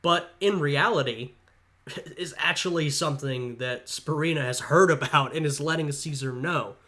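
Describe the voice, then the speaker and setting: A man's voice: fairly high pitch, normal speed, pushed and bright. A congested man, a history student, giving casual reaction commentary and thinking aloud with frequent hedges. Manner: thinking aloud, hedging and musing.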